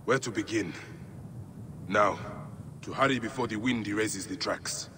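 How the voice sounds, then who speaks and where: An actor playing a grieving, brooding ancient Egyptian warrior in a video game, speaking in a solemn, weary, measured way. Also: male voice, on the low side, noisy background, close to an everyday voice. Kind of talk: dramatic video game acting.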